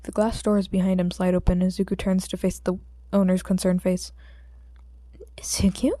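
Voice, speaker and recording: A woman's voice: on the low side, flat delivery, a little fast, mellow, no room sound, clean studio sound. A woman with a sore throat, speaking as an amateur audiobook reader in an informal, homemade style. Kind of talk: story narration and casual chat with listeners.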